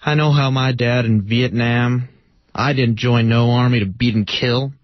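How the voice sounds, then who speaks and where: A man's voice: medium pitch, fairly even intonation, measured pace, dry recording, natural voice. A man playing an earnest rookie policeman of about thirty in a readers theater monologue. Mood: earnest, weary. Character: earnest young male rookie policeman, barely thirty, a father. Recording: dull, dry lo-fi radio recording.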